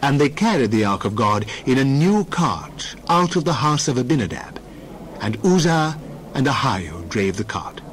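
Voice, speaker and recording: A man narrating a dramatized Bible passage in an expressive reading voice. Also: mid-range voice, a little hiss or hum, low-quality recording. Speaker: scripture reader.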